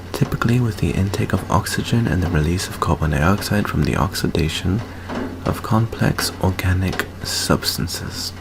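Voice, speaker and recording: A man playing an affectionate, anxious young student in an ASMR roleplay, speaking softly and hesitantly in an intimate hush. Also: low voice, vocal fry, noisy background. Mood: bored, studious. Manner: soft, hushed, hesitant, intimate. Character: anxious, affectionate young male vampire student, sentimental best friend.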